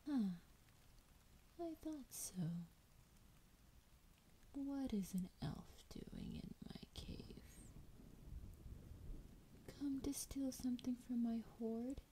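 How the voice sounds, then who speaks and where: A sultry female dragon, played by a woman, speaks in a breathy, extremely slow whisper for a fantasy roleplay. Her tone is very dark and soft, teasing with an edge of menace. There is some background noise around the voice. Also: sits high, animated, exaggerated voice, rough recording. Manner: slow, teasing, drawn-out delivery.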